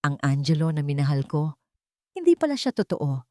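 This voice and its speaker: A woman's voice: sits low, sing-song, normal speed, warm tone, dry recording, clean studio sound. Woman voicing a heartbroken, accusing young woman. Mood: bitter, angry. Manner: accusing, wounded, emotional. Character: heartbroken young woman, bitterly accusing her deceitful lover.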